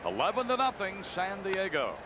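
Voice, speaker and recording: A man calls a baseball game play by play, his voice sitting high and light. He moves calmly through counts and stats, and a loud stadium crowd hums underneath him. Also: normal speed. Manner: calm, conversational, reading stats. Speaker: play-by-play announcer. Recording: broadcast booth over loud crowd noise. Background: stadium crowd ambience.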